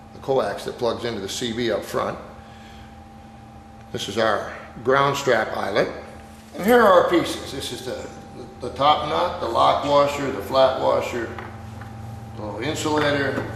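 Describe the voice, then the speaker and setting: A man with a mid-pitched voice walks through a hands-on technical demonstration. His instructions are plain-spoken and go step by step. The sound is echoey with long reverb. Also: gravelly, fairly bright tone. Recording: very echoey, reverberant room with background noise.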